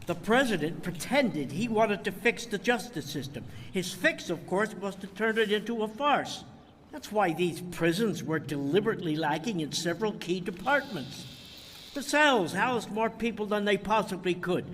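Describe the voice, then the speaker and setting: A man's voice: high voice, animated, a bit bright, recorded from a distance. An actor playing a small, insignificant man turned indignant whistleblower, giving a formal, declamatory speech in a game cutscene. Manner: oratorical, declamatory, deliberate. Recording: hall reverb, faint background noise.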